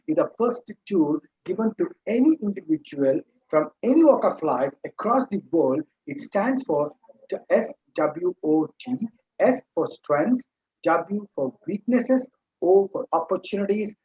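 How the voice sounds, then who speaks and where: Husky urdu-native guy lecturing students, earnest, a bit higher voice, muffled lo-fi sound. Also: a little mellow. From India. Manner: earnest, addresses audience as "my dear children", stresses by repeating. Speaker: motivational speaker and trainer. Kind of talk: motivational talk to students.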